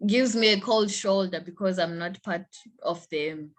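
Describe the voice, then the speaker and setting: A young woman with a Zimbabwean accent speaks as a guest in an interview. She talks slowly and haltingly, and often restarts her sentences. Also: mid-range voice. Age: young adult. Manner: hesitant, halting, repeats words. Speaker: guest, university student.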